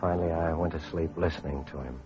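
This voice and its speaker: A man's voice: extremely low pitch, fairly even intonation, fast talker. An actor playing a sober, caring survivor who narrates his hardship plainly in an old-time radio drama. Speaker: narrator and character. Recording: dull vintage radio recording, faint music.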